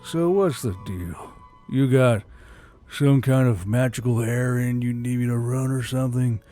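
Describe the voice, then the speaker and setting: A man's voice: mid-range voice, unhurried, warm tone, faint music behind, natural voice. A man voicing a bitter, sardonic, injured human soldier in an audiobook, curt and growling. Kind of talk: dramatised audiobook character dialogue.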